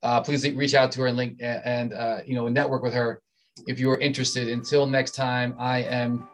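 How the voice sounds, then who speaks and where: A man's voice: mid-range voice, level tone, normal speed, slight background noise. A man from Southern Maryland who co-hosts a medical podcast. He chats casually and often repeats words as he starts sentences. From Southern Maryland, USA. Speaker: podcast co-host, physician. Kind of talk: casual interview chat.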